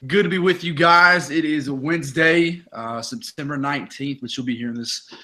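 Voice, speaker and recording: A young man with a raspy voice, hosting a casual sports podcast and telling stories in an energetic, chatty way full of fillers. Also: fairly high pitch, normal speed. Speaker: podcast host.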